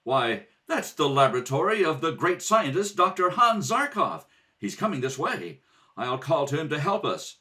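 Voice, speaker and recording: A man playing a brave, steady athlete hero in an old-time radio adventure, earnest and reassuring. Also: fairly high pitch, moderate pace. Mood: calm, wary.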